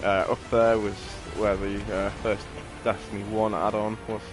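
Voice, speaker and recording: A man's voice: lowish voice, little pitch movement, moderate pace. A man giving casual, chatty live commentary as he streams a game, rambling with matey asides. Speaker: gaming streamer. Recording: loud game music and gunfire behind. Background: loud game music, gunfire.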